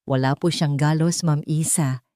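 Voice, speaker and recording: A woman voicing a gentle, affectionate elderly woman in an audiobook, speaking in a calm, reassuring manner. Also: sits low, moderate pace, dry recording, studio quality, natural voice. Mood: breathless, reassuring.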